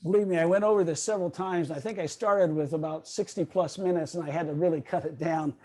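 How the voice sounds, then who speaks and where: An older man with a fairly high but soft, mellow voice, speaking as a guest in an online meeting. He talks informally and haltingly, wandering through his thoughts and regrouping as he goes. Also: fairly even intonation. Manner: halting, rambling, loses his train of thought. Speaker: guest speaker, radio history author. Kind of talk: informal question-and-answer talk. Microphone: video call microphone.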